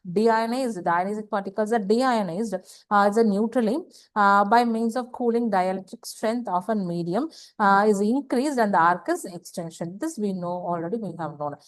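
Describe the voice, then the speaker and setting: A woman gives a slide lecture, halting and repeating phrases, often asking questions and answering them herself. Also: mid-range voice, no echo. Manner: halting, repetitive, asks and answers own questions. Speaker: engineering lecturer. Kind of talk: slide lecture explaining technical material.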